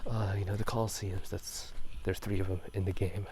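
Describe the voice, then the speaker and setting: A man speaking in soft whispers for a casual ASMR gaming chat outdoors at night, unscripted and rambling, often correcting himself. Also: low voice, little pitch movement, brisk, noisy background. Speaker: video host. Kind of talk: whispered ASMR chat about video games. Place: outdoors at night near rice fields.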